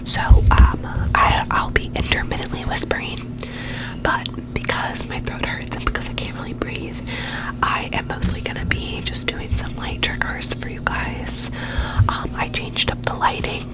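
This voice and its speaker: A young woman with a cold, nasal and stuffy, rambling in a soft ASMR whisper at home, close to a sensitive mic and repeating words playfully. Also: normal speed, rough recording. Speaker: ASMR creator. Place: home bedroom with dim warm lamp. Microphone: very close sensitive mic, gain turned up high.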